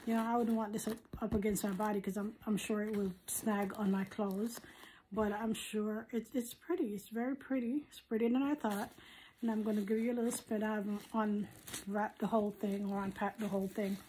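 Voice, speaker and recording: A woman with a hoarse, husky voice, giving a casual, rambling product review as a vlogger at home. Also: mid-range voice, level tone, a little fast, mellow, slight background noise, poor audio. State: hoarse, recovering from surgery. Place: living room at home.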